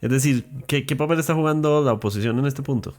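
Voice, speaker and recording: A man with a medium-pitched voice conducts an interview. He puts his questions in a journalistic style, as the interviewer. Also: quick pace.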